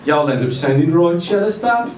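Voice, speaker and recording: A man's voice: fairly high pitch, animated, normal speed, darkish tone, voice pushed up, lightly acted. A man voicing a teasing, affectionate woman, a dead singer working as a barmaid, in a live story reading. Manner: chummy, teasing. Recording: roomy distant live-event recording, noisy.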